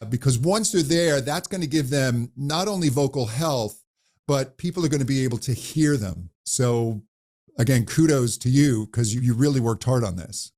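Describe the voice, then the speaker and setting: A man who coaches voices, talking animatedly in an interview and demonstrating high and low pitch as he explains. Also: normal speed, warm tone. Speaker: voice coach guest. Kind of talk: conversational interview.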